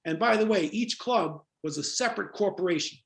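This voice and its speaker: Older American man, high creaky voice. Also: a little fast, roomy sound. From Jamaica-born, raised in New York. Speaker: interview guest, former club promoter. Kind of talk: conversational storytelling interview.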